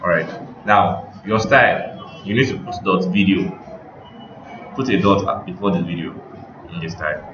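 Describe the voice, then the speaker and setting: A man giving an informal software tutorial, thinking aloud in a halting way as he works. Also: low-pitched, over music. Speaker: web design instructor. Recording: muffled, roomy, noisy home recording.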